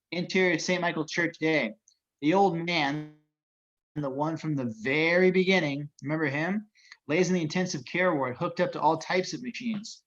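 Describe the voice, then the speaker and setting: Muffled, higher-pitched man reading stage directions. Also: fairly bright tone. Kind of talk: scripted narration in an amateur table reading.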